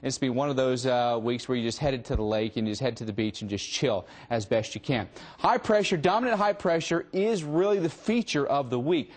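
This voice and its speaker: A man with an American accent delivers a live weather forecast. His voice sits a little higher than most men's, and his delivery is conversational and emphatic. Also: darkish tone.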